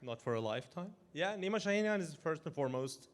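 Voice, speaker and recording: A man in his forties from Iran who grew up in Norway, talking as a guest in an interview in an animated way, chuckling and restarting his sentences. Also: on the high side, fast. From Iran, raised in Norway. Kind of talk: casual interview talk.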